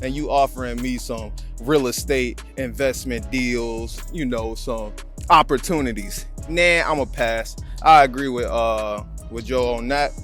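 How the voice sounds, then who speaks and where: A man's voice: medium pitch, a little slow, fairly bright tone. A man with an American accent giving casual, slangy commentary as the host of a channel. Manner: slangy, conversational. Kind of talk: casual commentary on a video clip. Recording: music behind the voice. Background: background music.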